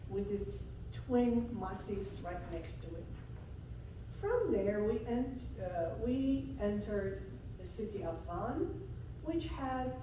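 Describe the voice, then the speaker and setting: A woman from Syria, a guest preacher, telling a personal story in a sermon with slow pauses and fillers. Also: medium pitch, noisy background. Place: church sanctuary. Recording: echoey church from far off.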